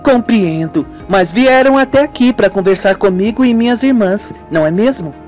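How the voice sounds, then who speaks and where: A man voicing a lively, curious young woman in an amateur radio soap, with music. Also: high-pitched, moderate pace, voice pushed up. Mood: chiding, welcoming.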